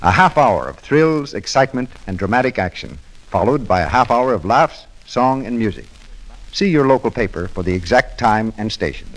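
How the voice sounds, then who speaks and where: A man's voice: fairly low pitch, sing-song, moderate pace, noisy background. An American man making formal, emphatic announcements in 1930s radio style.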